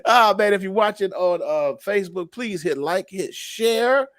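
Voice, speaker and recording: A man's voice: high voice, expressive, measured pace, fairly bright tone, no echo, studio quality. A man hosting a casual livestream talk show, chatty and greeting viewers by name. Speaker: show host.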